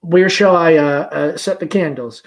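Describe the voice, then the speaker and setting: A man playing a confident, gently humorous young gentleman in a play, speaking in a hearty, breezy manner. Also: a little high, unhurried, pitched above the performer's own voice. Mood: breezy, friendly. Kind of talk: play read-aloud.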